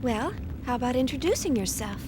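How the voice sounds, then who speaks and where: A young female engineer from a video game, with a high voice and a gentle, caring way of talking. She sounds conversational, with clear noise behind her. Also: very animated, fairly quick. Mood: friendly, businesslike.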